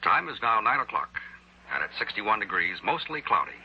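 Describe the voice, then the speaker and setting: A man's voice: on the low side, sing-song, normal speed. An American man reading a station identification as a local radio announcer. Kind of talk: station identification with time and weather. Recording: dull, faintly noisy 1960s radio.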